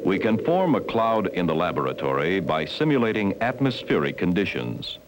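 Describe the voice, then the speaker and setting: Male documentary narrator, low and formal, varied intonation, vintage 1970s film sound. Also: big pitch swings, very noisy. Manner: measured, formal, instructional. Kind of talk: scripted educational film narration.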